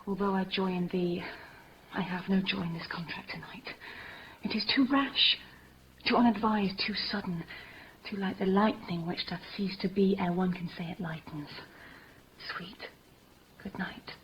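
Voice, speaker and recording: A woman's voice: medium pitch, steady tone, moderate pace, pauses often, natural voice. An actress playing an impulsive, tender young noblewoman in love, speaking theatrical Shakespearean verse in a 1950s radio play style. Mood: anxious, pleading, then tender, adoring. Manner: breathy, theatrical verse delivery, often hushed. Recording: noisy, dull vintage 1950s radio broadcast.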